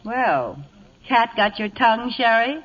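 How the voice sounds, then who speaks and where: A woman's voice: a little high, big pitch swings, a little slow, crowd noise. A woman playing a dry, wisecracking, hard-bitten secretary in a radio comedy, plain-spoken and sure of herself. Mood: wry, eager. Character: wry, hard-bitten female secretary, loyal but defiant. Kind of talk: vintage radio comedy acting. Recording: dull vintage radio broadcast.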